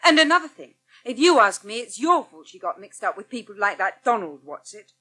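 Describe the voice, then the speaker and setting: Sharp, high-voiced indignant young woman. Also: sing-song, fairly quick, full character voice. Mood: indignant, accusing. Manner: blunt, sharp-tongued. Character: blunt, indignant young woman, loyal protective flatmate. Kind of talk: naturalistic radio soap acting.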